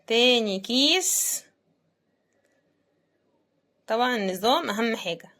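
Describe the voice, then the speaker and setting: Casting: Egyptian woman, fairly high voice, conversational unboxing host with a casual, thinking-aloud delivery. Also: expressive, measured pace, bright, forceful tone. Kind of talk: casual running commentary while unboxing.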